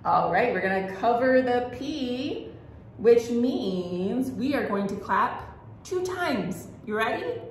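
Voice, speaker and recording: A woman's voice: a little high, expressive, slow pace. A woman hosting a children's storytime as a librarian, reading aloud expressively and exclaiming as she addresses young listeners directly. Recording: echoey room with faint noise.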